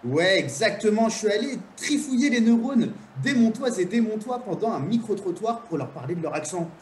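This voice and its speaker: High-voiced man in an echoey room. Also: big pitch swings, brisk. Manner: cheerful, explanatory. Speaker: neuroscience contributor. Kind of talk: casual chat on a call. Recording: echoey room with faint noise.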